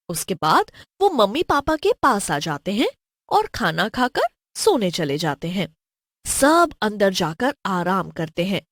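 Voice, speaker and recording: A woman's voice: mid-range voice, no echo, studio quality. A woman narrating a scripted horror story as a storyteller.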